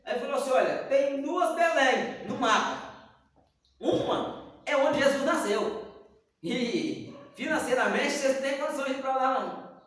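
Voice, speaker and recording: A man's voice: extremely high pitch, a little slow. A man teaching a church Bible lesson, telling stories and acting out the dialogue. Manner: animated storyteller acting out dialogue. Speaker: Sunday school teacher. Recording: echoey hall, distant mic.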